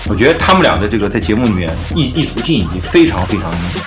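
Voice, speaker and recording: A man's voice: on the low side, very animated, racing. A man hosting a podcast, explaining his opinions in a casual music discussion. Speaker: podcast host.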